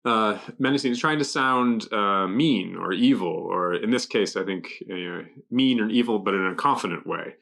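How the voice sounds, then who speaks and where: A man casually explaining English vocabulary off the cuff, pausing to think and offering loose examples. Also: a bit bright. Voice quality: gravelly, low. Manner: halting, thinking aloud with examples. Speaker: guest explaining English words. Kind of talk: casual unscripted word explanations.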